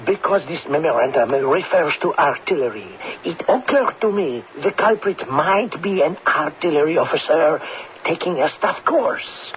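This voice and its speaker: Man acting a high-voiced, hurried French major. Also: expressive, close to an everyday voice. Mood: anxious. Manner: polite but hurried, repeats words. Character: brisk French counterintelligence major, man, mildly impatient. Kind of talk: old radio drama acting. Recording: dull, noisy old radio recording.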